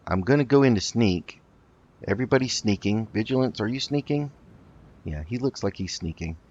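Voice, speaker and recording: Low-voiced man, slightly muffled gameplay commentary. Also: expressive, moderate pace, darkish tone. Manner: hesitant, thinking aloud. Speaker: host of a video game roleplay let's play.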